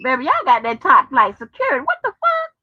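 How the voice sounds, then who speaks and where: A woman's voice: sits high, wildly swooping pitch, brisk, no room sound. An American woman chatting casually and profanely, drawing out her exclamations and using folksy terms of address. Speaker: host. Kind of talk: casual rambling chat to viewers and on the phone. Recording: dull lo-fi sound.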